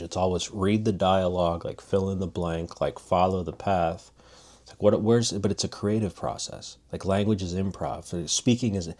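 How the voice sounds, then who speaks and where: Casting: man with a low voice, teacher type, chatty and demonstrative, lots of restarts. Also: darkish tone. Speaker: language teacher. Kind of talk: casual spoken lesson.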